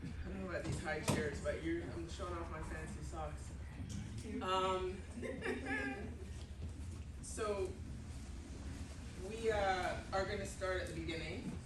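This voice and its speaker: A woman moderating an onstage talk, speaking loudly and chatting in a loose, friendly way. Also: on the low side, expressive, slow pace, long pauses. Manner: loud, projecting voice, chatty and loose. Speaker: conversation moderator. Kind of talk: casual onstage conversation. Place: small venue with an audience. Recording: echoey room, distant mic, clear background noise.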